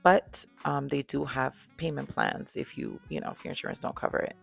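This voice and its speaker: A woman's voice: very deep voice, big pitch swings, brisk, gravelly, faint music behind. A woman with a Dominican accent, hosting a podcast and chatting candidly about her own experience, with lots of fillers and asides. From Dominican background. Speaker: podcast host. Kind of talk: casual personal talk to camera.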